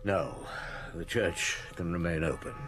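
An actor playing a middle-aged chief inspector, reflective and measured, in a British radio drama style. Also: male voice, low voice, a bit bright, soft background music. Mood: matter-of-fact. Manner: measured, musing, clipped sentences. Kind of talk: British radio drama acting, with interior monologue. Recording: old radio broadcast with background bustle.

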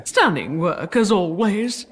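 A woman's voice: mid-range voice, expressive, takes it slowly, husky. An actress playing a regal, exasperated queen in a comic audio drama. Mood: regal, encouraging. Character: exasperated, domineering queen, eager and encouraging.

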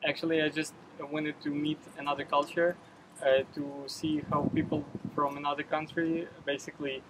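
A young man with a Ukrainian accent speaks hesitantly, fairly high and very even in pitch. Faint outdoor noise sits behind him. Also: normal speed. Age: 20s. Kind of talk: on-camera testimonial.

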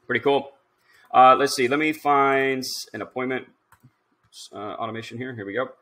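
A man in his thirties presenting a casual screen-share software tutorial, informal and instructional, thinking aloud as he goes. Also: medium pitch, moderate pace.

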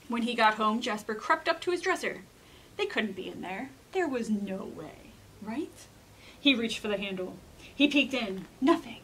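A woman's voice: a little high, sharp tone, a little hiss or hum, low-quality recording. A young woman working as a children's librarian, speaking theatrically and emphatically to young listeners. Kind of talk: dramatic storybook reading and chatty explaining to children. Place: children's library room, indoors.

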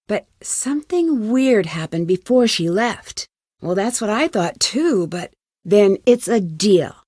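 A woman's voice: mid-range voice, mellow, no room sound, clean studio sound, natural voice. An actress playing an earnest, determined young female amateur detective in conversational video game dialogue. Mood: troubled, sincere. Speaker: lead character in a detective adventure game.

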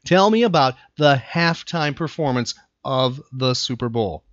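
A man's voice: medium pitch, animated, unhurried, a bit bright, no room sound. An American man hosting a casual talk show, emphatic and repeating phrases for effect. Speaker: podcast host. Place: studio. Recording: dry, slightly dull lo-fi studio sound.

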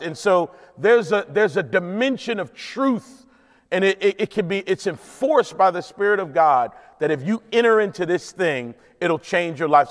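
A man's voice: high-pitched, fairly bright tone. A middle-aged man preaching a sermon as a pastor, emphatic and repeating phrases for effect. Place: large church auditorium stage. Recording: echoing large hall, long reverb.

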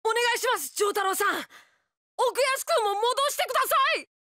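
A timid schoolboy speaks extremely high, with big swoops. He is polite, flustered and excitable, in a dry anime game recording. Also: brisk, no echo, full character voice.

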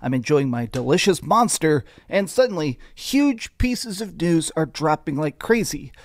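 A man in his thirties presenting upbeat gaming news, excitable and chatty, close on a studio mic. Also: fairly high pitch, measured pace, no room sound. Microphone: close boom-arm studio microphone.

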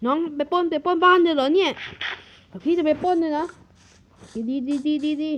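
A woman narrating a game cartoon in a playful way, switching quickly between the characters' lines. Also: very high voice, fairly even intonation.

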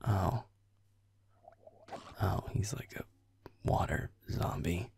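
Man acting a low, whispery, grumpy werewolf boyfriend. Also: slow pace, dry recording, exaggerated voice. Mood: grudging, annoyed. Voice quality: hushed, breathy, gravelly mutter. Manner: sardonic, irritable, swearing under breath. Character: grumpy sarcastic tsundere werewolf boyfriend playing games. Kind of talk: roleplay audio, muttered gaming commentary.

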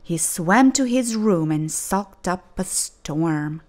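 Animated, very unhurried woman reading aloud. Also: on the low side, a little hiss or hum. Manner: dramatic, deliberate phrasing. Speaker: storybook reader. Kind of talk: expressive children's read-aloud narration.

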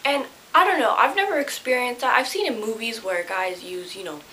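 A young woman chats to her camera, telling a story in a casual, emphatic way. Her voice is fairly high and bright, and she sounds a little flustered. A faint hiss runs under the home recording. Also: a little fast, low-quality recording. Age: young adult. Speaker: vlogger. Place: small bedroom, indoors. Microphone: camera a short distance away.

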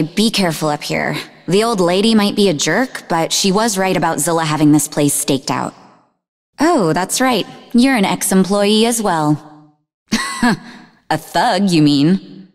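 An actress playing a sarcastic, defensive young woman in a video game, speaking in an expressive, conversational way. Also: on the low side, big-room sound. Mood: wry, bitter. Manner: snarky, conversational, emotionally expressive. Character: sarcastic, defensive young woman, idealistic martial-arts student and researcher. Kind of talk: video game character dialogue.

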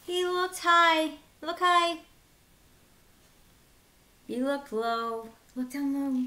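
A woman in her thirties reading a picture book aloud as a teacher, with simple, deliberate phrasing for young children and short asides. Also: high-pitched, level tone, bright, forceful tone. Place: small room at home, indoors.

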